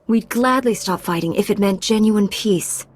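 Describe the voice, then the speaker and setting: Woman playing a resolute Jedi heroine, mid-pitched. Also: normal speed, close to an everyday voice. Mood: defiant. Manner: firm, direct, assured. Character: resolute, confident young female Jedi knight. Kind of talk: video game acting.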